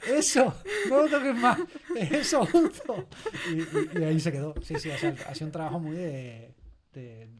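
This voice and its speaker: A man with an accent from Spain and a slightly high voice talks in a casual interview. He is animated and emphatic, often repeating phrases and voicing little quotes as he explains. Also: moderate pace, a crowd in the background. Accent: Spanish from Spain. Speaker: audio series creator being interviewed. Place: recording studio.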